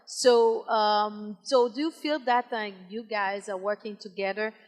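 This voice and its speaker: A woman hosts an interview show with a Haitian accent. She speaks at a middling pitch in halting phrases, and the sound rings with long hall reverb. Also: steady tone. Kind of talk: broadcast interview. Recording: very echoey hall.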